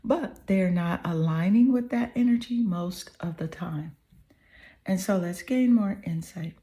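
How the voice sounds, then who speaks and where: A woman with a slightly hoarse, mellow, warm tone gives a tarot reading straight to the listener. She speaks slowly and earnestly, repeating her affirmations and checking in with the listener often. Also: fairly low pitch. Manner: earnest, affirming, repeats phrases with "okay?" and "you know". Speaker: tarot reader. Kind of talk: tarot card reading to the viewer.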